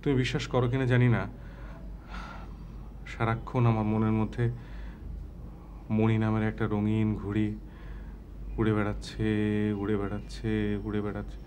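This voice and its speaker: A man's voice: on the low side, flat delivery, moderate pace, pauses often, darkish tone, slight background noise, natural voice. An actor playing a bashful young man in love in a TV drama, who stammers and then turns flowery and poetic. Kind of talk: TV drama acting.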